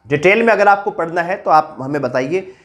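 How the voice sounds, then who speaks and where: Man teaching with a sore throat. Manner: questions the viewers, then answers himself low and slow; steady, explaining step by step. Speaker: reasoning teacher. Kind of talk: online exam-prep lesson.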